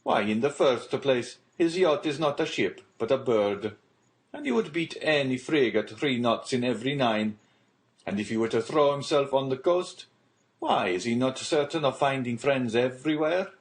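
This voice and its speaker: A man's rendition of a boastful sailor, raised pitch, animated, emphatic, admiring, audiobook storytelling. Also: normal speed, close to an everyday voice. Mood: boastful, amused. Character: Gaetano, boastful Mediterranean sailor, boat's patron.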